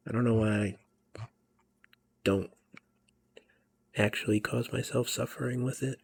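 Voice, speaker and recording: A man giving casual, rambling commentary over a video game, musing about himself and often dropping to a soft near-whisper. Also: fairly low pitch, fairly even intonation, measured pace, creaky, a little hiss or hum. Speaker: let's play commentator.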